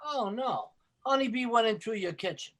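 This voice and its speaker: An actor playing a brash, gluttonous neighbor pal who delivers hammy wisecracks in an old-time radio comedy. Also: male voice, very high-pitched, lively intonation, moderate pace, fairly bright tone, full character voice. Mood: greedy, sly.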